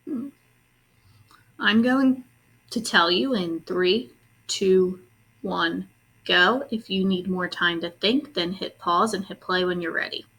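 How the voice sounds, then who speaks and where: A woman reading a children's story aloud expressively, pausing in a teacherly way to ask questions and explain to young listeners. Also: on the low side, fairly bright tone, poor audio. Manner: instructive, pauses to ask and explain.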